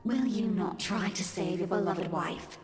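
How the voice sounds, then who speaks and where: Casting: woman playing a taunting, unhinged female ghost for a horror game, slow delivery in long echoing reverb over music. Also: medium pitch, natural voice. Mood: cold taunting. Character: mad, mocking female witch ghost, deathly slow.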